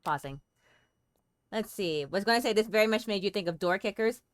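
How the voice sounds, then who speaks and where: A woman's voice: medium pitch, expressive, fairly quick, no echo, studio quality. A woman chatting playfully and thinking aloud as she comments on a game for a livestream. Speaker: game streamer.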